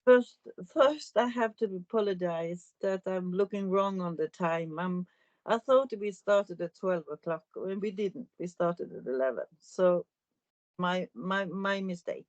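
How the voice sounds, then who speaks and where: Halting Swedish politician on a video call. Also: female voice, on the low side, dry recording. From Sweden. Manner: halting, with many restarts. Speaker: local politician. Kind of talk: webinar testimony. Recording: dry, dull video call audio.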